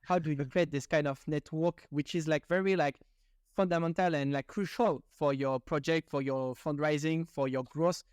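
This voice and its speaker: A man's voice: on the high side, fairly even intonation, moderate pace, darkish tone, smooth, dry recording, clean studio sound. A man hosting a podcast, asking long, rambling questions in loose, non-native phrasing. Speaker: podcast host. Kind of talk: casual interview questions.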